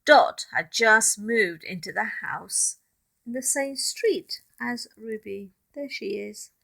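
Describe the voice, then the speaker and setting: A woman reading a children's picture book aloud in expressive narration, with asides to young listeners about the pictures. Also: a little high, slow pace, gravelly. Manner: addresses young listeners, points out pictures, asks questions.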